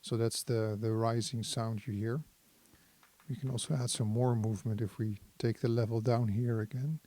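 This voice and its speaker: Guy doing a casual synth walkthrough, mellow relaxed voice, kinda thinking out loud as he explains. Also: mid-range voice, normal speed. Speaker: synthesizer demonstrator. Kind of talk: casual hands-on tutorial talk.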